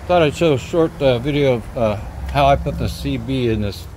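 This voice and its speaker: Folksy man over clear outdoor noise. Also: medium pitch, normal speed, a little mellow, poor audio. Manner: folksy, hesitant, unscripted. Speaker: DIY video maker. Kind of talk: casual hands-on how-to narration. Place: outdoors at an open truck cab.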